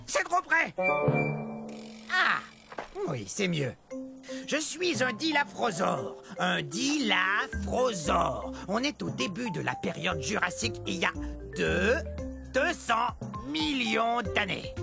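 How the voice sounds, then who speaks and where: A woman's voice: medium pitch, big pitch swings, takes it slowly, gravelly, exaggerated voice. A woman voicing a boastful, quirky dinosaur parent in a children's cartoon, theatrical and emphatic. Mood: playful, boastful. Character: boastful quirky Dilophosaurus parent obsessed with number two. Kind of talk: children's cartoon character monologue. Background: music.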